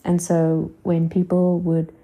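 A woman in her twenties hosts her own podcast, thinking aloud in a slow, unhurried ramble. Her voice sits a little low and sounds dark and mellow, with a gravelly edge. She fills her pauses with soft fillers and drops into quiet whispered asides. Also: monotone. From South Africa. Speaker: podcast host. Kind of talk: casual reflective monologue.

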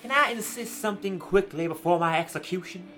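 A man's voice: high-pitched, brisk, pushed and bright, soft background music. A man playing a pompous, crude biscuit heir and war veteran in a darkly comic radio play, with a theatrical delivery. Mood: grandly cheerful.

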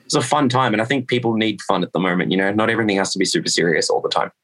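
Upbeat young man, lowish voice. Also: very fast, fairly bright tone. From Australia. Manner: enthusiastic, repeats words when starting sentences. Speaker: metal band musician and producer. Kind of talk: casual interview chat.

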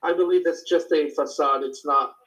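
A man's voice: fairly high pitch, steady tone, normal speed, fairly bright tone. A man from Morocco with a Moroccan accent, speaking reflectively and hesitantly as he explains his personal experience in an informal talk to a small group.

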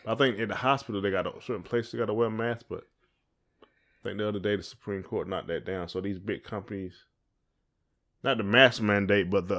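A man with a fairly low voice co-hosts a casual podcast. He talks in a rambling way, circling back and repeating phrases as he thinks out loud. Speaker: podcast co-host. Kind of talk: casual conversational chat.

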